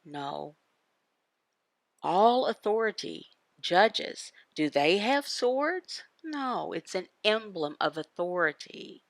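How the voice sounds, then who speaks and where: A woman giving a devotional Bible teaching in emphatic, halting phrases, asking questions and answering them. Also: fairly low pitch, very animated, no room sound. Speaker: voice interpreter for a signed devotional. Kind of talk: devotional Bible teaching, voiced from sign language.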